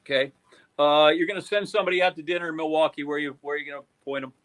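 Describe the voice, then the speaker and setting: A man's voice: on the high side, steady tone, very fast, a bit bright. An American man hosting a casual sports interview, bantering and joking as he throws out questions. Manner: jokey, bantering. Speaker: podcast host, interviewer.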